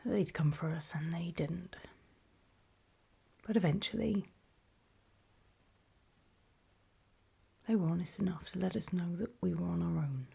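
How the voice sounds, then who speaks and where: A woman's voice: fairly low pitch, full character voice. Woman acting a whispering, hesitant apocalypse survivor. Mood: grave, hesitant. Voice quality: soft, breathy whisper. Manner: hesitant, halting, self-conscious. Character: nervous, apologetic female zombie-apocalypse survivor recording a warning. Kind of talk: whispered ASMR horror roleplay monologue.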